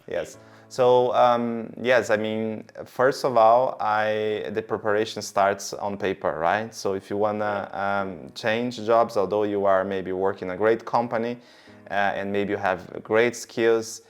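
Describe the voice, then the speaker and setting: A man's voice: lowish voice, fairly even intonation, measured pace, soft background music. A man in his thirties from Brazil, giving long, earnest interview answers with many fillers. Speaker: guest.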